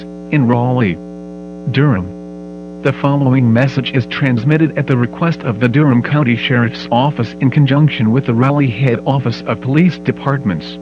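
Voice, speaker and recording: A man's voice: medium pitch, lightly acted. A man's voice as a grave automated civil emergency announcer, reading formal scripted instructions on a lo-fi broadcast. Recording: dull lo-fi broadcast, loud mains hum.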